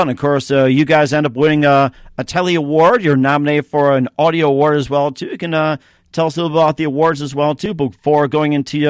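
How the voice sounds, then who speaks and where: Male interview host, fairly high voice, choppy and halting, close dry sound. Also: no echo. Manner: halting, choppy phrasing with repeated tag words. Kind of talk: casual radio interview.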